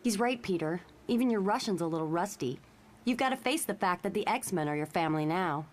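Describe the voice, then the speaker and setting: An actress playing a perceptive, needling young telepath superheroine in a motion comic, frank and probing. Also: female voice, lowish voice, lively intonation, fairly quick, close to an everyday voice.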